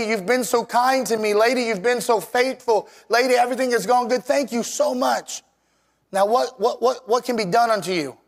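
Male preacher, somewhat high-pitched, voice worn and a touch scratchy, unpolished plainspoken sermon delivery. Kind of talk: sermon with scripture reading and prayer.

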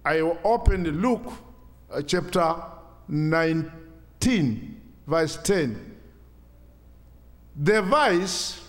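A man in his sixties from Kenya with a Kenyan accent, a Swahili speaker giving a church testimony in halting, simple English. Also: a little high, in a large hall. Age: 60s.

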